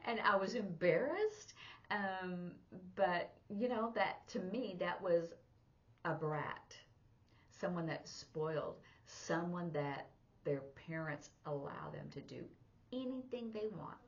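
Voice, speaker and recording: A woman's voice: lowish voice, animated, takes it slowly, a bit bright, lo-fi sound. A woman giving an informal spoken sign language lesson, rambling conversationally as she explains things through examples. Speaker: sign language teacher and interpreter.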